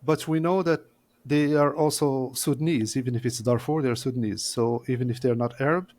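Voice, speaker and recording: A man who works as a court interpreter, giving long explanatory answers as a guest. He speaks in a measured way with frequent pauses. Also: medium pitch, fairly even intonation, darkish tone.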